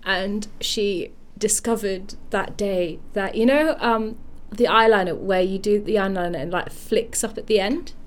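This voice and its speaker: A young woman with a Yorkshire accent hosts a casual radio chat. She is chatty and giggly and pokes fun at herself between thoughts. Her pitch sits in the middle of the usual range for a woman. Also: unhurried, few pauses. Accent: Yorkshire English. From Yorkshire, England. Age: young adult. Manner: chatty, giggly, self-mocking. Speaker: radio host.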